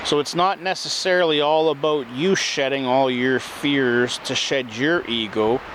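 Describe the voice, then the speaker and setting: A man giving a slow, earnest self-help talk that circles back over the same points. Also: mid-range voice, fairly even intonation, background noise.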